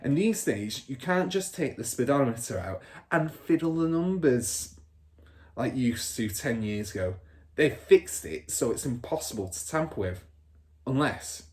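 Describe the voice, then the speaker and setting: A man's voice: mid-range voice, darkish tone, voice pushed up, lightly acted. A man voicing a boastful, crooked middle-aged car dealer and rude father in a storybook, bragging and scornful with heavy emphasis.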